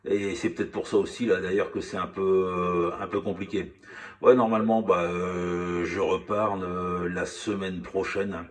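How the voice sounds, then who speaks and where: Man, low pitch, amateur radio operator, casual chat, halting delivery, repeated words. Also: level tone.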